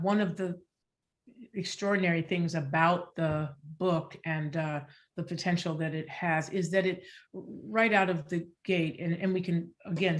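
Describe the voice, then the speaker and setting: Low voiced woman, academic panel talk, picks her words carefully and leans on key ones. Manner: deliberate, searching, articulate, emphasizes key words. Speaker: theater artist on a panel. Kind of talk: scholarly, reflective panel discussion.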